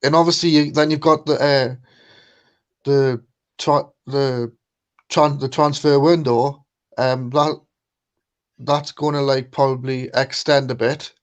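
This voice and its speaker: A man who talks slowly and hesitantly, stumbling through restarts and "um"s in a mid-range voice. His audio comes through dull and lo-fi, like a guest on a stream. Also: mid-range voice, fairly even intonation. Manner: hesitant, halting, many fillers and restarts. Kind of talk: casual chat.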